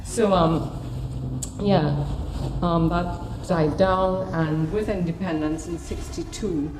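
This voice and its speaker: A woman's voice: fairly low pitch, takes it slowly. A woman in her fifties with a Trinidadian accent, giving an informal, conversational artist talk about her own memories. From Trinidad and Tobago. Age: 50s. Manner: conversational, often checking in with "you know". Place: gallery talk in a room. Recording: echoey room, noticeable background noise.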